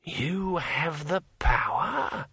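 Storybook undead elder: brittle old voice, very slow and halting, swooping pitch, musing and muddled. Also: male voice, lively intonation, gravelly, bright, forceful tone, slightly rough, no room sound, heavily acted. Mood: musing, conversational. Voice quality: brittle, aged. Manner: slow, halting, drawn-out delivery. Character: slumped dead old man, conversational, rambling, confused about time.

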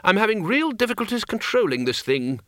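A man voicing a bossy, exasperated older wizard chief in an audiobook, blustering and gruffly sarcastic. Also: high-pitched, big pitch swings, unhurried, fairly bright tone, dry recording, clean studio sound, pitched above the performer's own voice, full character voice. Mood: terse, irritated.

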